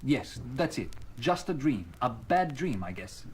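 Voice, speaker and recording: A man's voice: medium pitch, very animated, measured pace. A man acting in an audio drama, playing a troubled, stubborn space captain with an intense, dramatic manner. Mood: subdued, uneasy. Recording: loud dramatic music behind the voice.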